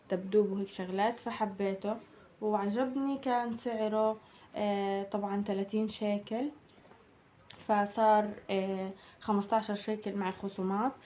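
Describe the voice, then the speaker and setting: A young woman with a Palestinian Arabic accent, chatting casually through a shopping haul, her voice slightly hoarse from a cold. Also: mid-range voice, steady tone, moderate pace, a little mellow, slight background noise. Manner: chatty, addresses viewers as girls. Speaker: vlogger.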